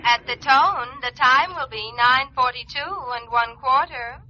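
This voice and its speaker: A woman's voice: high voice, unhurried. A woman playing a telephone time operator in a radio comedy, reciting in a formal announcement style. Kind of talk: formal recorded-announcement delivery. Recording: muffled, noisy old radio phone effect.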